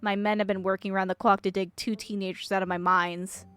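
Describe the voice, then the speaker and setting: A woman's voice: medium pitch, little pitch movement, fast, fairly bright tone, smooth, close to an everyday voice. A woman voicing a stern, scowling woman in a video game, clipped and controlling. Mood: irritated.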